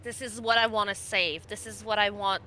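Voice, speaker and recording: A woman playing an earnest nature-magic guide devoted to her fey homeland, speaking haltingly and heartfelt in a tabletop roleplay. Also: medium pitch, fairly even intonation, sharp tone, a little hiss or hum, natural voice. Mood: awed, earnest.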